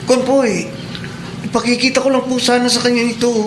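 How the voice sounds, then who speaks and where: A man's voice: extremely high pitch, flat delivery, fairly quick, background noise. A man playing a polite, hopeful young man in a Filipino radio drama, earnest and deferential. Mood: eager. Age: young adult.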